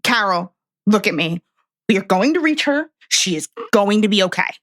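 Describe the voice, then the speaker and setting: A woman playing a steady friend in an audio drama, speaking firmly and reassuringly. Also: medium pitch, moderate pace, close to an everyday voice. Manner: firm, reassuring.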